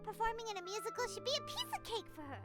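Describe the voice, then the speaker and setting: A little fairy companion from a video game, perky and sure of herself. The voice is extremely high and childlike, leaping all over in pitch. Loud music plays behind it. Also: female voice, big pitch swings, racing. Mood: upbeat confidence. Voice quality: squeaky, childlike. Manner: perky, refers to herself in third person. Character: small childlike fairy companion, chirpy, confident, speaks in third person. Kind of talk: video game character voice acting.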